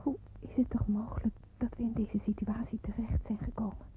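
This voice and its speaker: Actress doing young woman in hiding, intimate dramatic monologue, highish voice, old tinny radio play sound. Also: flat delivery, fairly quick, in a whisper, lightly acted. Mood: anxious, hushed. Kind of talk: radio play acting, first-person narration. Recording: tinny, narrowband old radio recording, faint noise.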